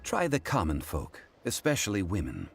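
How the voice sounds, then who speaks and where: An actor playing a composed, scholarly gentleman in a video game, formal, measured and eloquent. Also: on the low side, huge pitch swings, creaky, soft background music, heavily acted. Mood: calm, formal.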